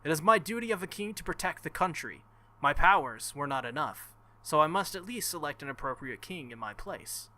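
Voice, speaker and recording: A man voicing a formal, resolute young female warrior king from a visual novel, speaking in measured sentences. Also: a little high, expressive, bright, forceful tone.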